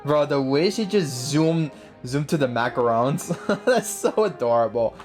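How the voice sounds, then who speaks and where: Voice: medium pitch, expressive, unhurried, darkish tone, faint music behind. A gamer giving casual, playful commentary and answering the game's dialogue in an informal way. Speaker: gaming video host. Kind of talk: casual game commentary, voicing own replies to dialogue.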